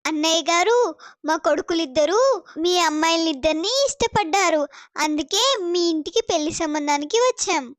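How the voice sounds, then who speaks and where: A woman's voice: very high voice, brisk, no echo, clean studio sound. A woman voicing a polite middle-aged mother of grown sons in a cartoon story. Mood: polite, assured.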